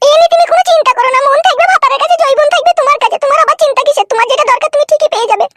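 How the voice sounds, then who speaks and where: A woman voicing a stubborn young wife in a comedy cartoon, with a squeaky childlike pitched-up voice and a bossy, insistent manner. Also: very fast, very noisy. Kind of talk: amateur comedy cartoon dubbing. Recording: loud music under the voice.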